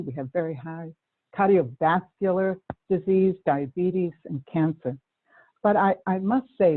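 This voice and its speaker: A woman with a Boston accent, speaking earnestly as a panelist in a webinar, often restarting her sentences. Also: lowish voice. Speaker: panelist, Native community organizer. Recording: tinny phone-like line, faint hiss.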